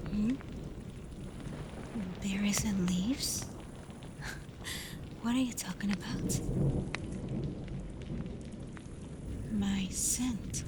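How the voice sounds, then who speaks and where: A woman playing a tender, protective alpha werewolf in an intimate ASMR roleplay, speaking softly and breathily, often in a whisper. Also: mid-range voice. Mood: amused, fond. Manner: slow, gentle, hesitant when confessing feelings. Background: ambient storm noise.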